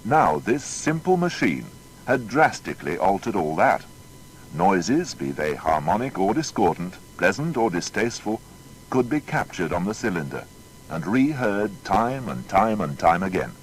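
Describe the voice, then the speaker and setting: A man's voice: lowish voice, very animated, moderate pace, a little hiss or hum, rough recording. A man narrating a scripted biography in a dramatic, rhetorical manner.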